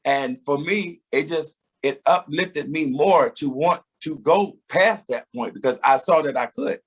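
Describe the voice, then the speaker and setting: A man's voice: fairly high pitch, unhurried, slightly rough. A middle-aged man, a singer, talking reflectively in a casual interview, often laughing and repeating words as he starts a thought.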